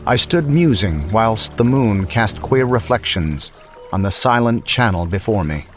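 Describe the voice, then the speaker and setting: A man giving a dramatic reading of a first-person horror story, with a measured, brooding, theatrical delivery. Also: on the low side, lively intonation. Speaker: audiobook reader. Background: faint underscore music.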